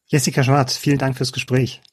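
A man hosting a podcast, asking measured interview questions and reading a scripted introduction. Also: mid-range voice, completely flat delivery, slightly rough. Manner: measured, asks long framed questions.

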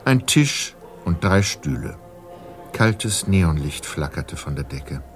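Deep, slow-spoken male narrator. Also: sing-song. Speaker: narrator of a radio play. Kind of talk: scripted narration. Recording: narration over clear music.

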